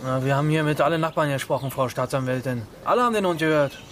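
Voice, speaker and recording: Guy acting a brisk police detective, talks fast, bit high voice, some noise outside. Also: fairly bright tone, lightly acted. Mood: brisk, matter-of-fact. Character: brisk male police detective reporting a case. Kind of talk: radio crime drama dialogue.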